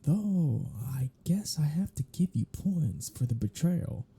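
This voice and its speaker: A captive, starving vampire played by an ASMR performer speaks in a very husky, dark voice. The delivery is slow and intimate, with wry, flirtatious teasing that frays toward desperation. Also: medium pitch, very animated, heavily acted. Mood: bitter sarcasm. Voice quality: breathy, husky. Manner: wry teasing, halting, slipping into pleading. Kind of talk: intimate ASMR roleplay acting.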